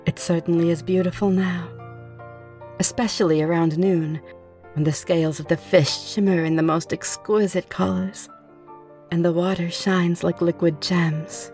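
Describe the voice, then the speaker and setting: Actress as courteous female vampire aristocrat, ASMR roleplay; slightly low voice, playful poise, faint music underneath. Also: steady tone, a little slow, a little mellow. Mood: admiring.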